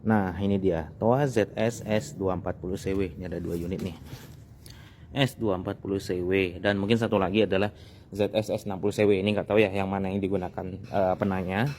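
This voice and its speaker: A man's voice: low voice, fairly even intonation, fairly quick, a little mellow, a little hiss or hum. A man gives a casual, conversational explanation as a vlog host, repeating phrases for emphasis. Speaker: sound technician and video host.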